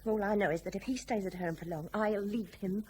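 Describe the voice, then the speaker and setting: A woman's voice: mid-range voice, fairly even intonation, brisk, darkish tone, a little hiss or hum, lightly acted. An actress in a radio drama playing a bitter, trapped wife and mother, fed up and scornful. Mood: resentful, defiant.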